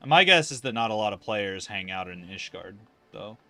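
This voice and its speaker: A man's voice: on the low side, animated, moderate pace, bright, forceful tone. A man casually commentating a livestream of an online fantasy game, rambling and thinking aloud with casual swearing.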